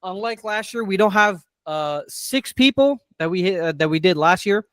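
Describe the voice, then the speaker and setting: A man's voice: high voice, animated, normal speed, fairly bright tone, no echo, studio quality. A man in his twenties hosting a casual sports podcast, upbeat and steering the segments. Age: 20s. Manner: upbeat, runs the segments with fillers. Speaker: podcast host.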